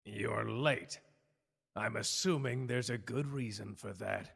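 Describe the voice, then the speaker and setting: A man playing a stern, scheming older superior with a gravelly voice, curt, formal and condescending, in a dramatic audio roleplay. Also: lowish voice, slow, fairly bright tone, heavily acted. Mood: cold, disdainful. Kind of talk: dramatic ASMR character roleplay. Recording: long cavernous hall reverb.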